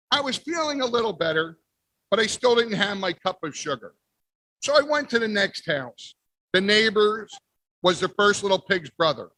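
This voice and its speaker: Guy reading a kids storybook, high bright voice, dry sound, playful. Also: no echo, low-quality recording. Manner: playful, exaggerated sneezes and storyteller pauses. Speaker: guest reader. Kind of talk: reading a picture book aloud in the wolf's first person. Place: library event.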